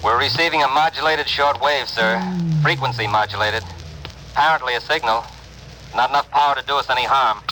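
A spaceship crewman played by a man reports in a clipped, formal manner at a middle pitch. It is vintage radio drama, with clear noise behind the voice. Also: fairly quick, sharp tone, natural voice, low-quality recording. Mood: businesslike. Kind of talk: old-time radio drama acting.

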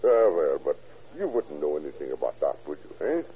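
An actor playing a stammering, sly scientist who turns to murder, in an old radio drama. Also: male voice, rumbling low, very animated, a little fast, warm tone, slight background noise. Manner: stammering, sly, with menacing chuckles. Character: sinister German scientist, middle-aged man, murderous. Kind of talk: 1940s radio acting. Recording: dull old radio recording.

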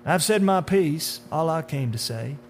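A sinister, smooth-talking stranger in a dark suit, acted out by a male storyteller, taunts his victim in a horror tale. He speaks with theatrical deliberation and sneering rhetorical questions. A noticeable hum sits behind the voice. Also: a little high, expressive, measured pace, voice pushed up. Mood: smug, menacing. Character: menacing, taunting dark-suited man, smooth-talking otherworldly bringer of death. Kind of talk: dramatic horror audiobook acting.

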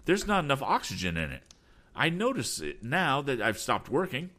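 A man's voice: deep voice, wildly swooping pitch, creaky, a bit bright, full character voice. A man voicing an earnest young male inventor who exclaims urgently in an adventure storybook. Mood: startled, urgent. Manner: quick, startled exclamations.

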